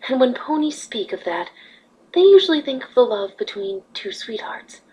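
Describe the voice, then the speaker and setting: A woman voicing a wise, motherly pony ruler in a fan fiction reading, speaking patiently and earnestly with a slightly husky voice. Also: fairly high pitch, big pitch swings, normal speed, a little mellow, rough recording. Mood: earnest teaching.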